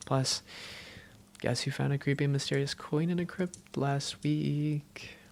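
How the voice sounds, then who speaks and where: A woman playing an affectionate, self-doubting vampire girlfriend in a cozy roleplay audio, speaking in an intimate, teasing and sometimes halting way. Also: low-pitched, steady tone, gravelly, a little mellow, slight background noise, full character voice. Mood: playful, mock-stern. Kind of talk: cozy roleplay audio, one-sided conversation.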